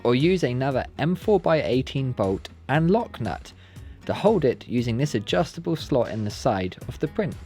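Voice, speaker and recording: A man's voice: medium pitch, very animated, normal speed, mellow. Man narrating a careful tutorial over music. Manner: careful, instructional, polite phrasing. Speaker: presenter of a DIY build tutorial. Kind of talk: scripted step-by-step tutorial narration.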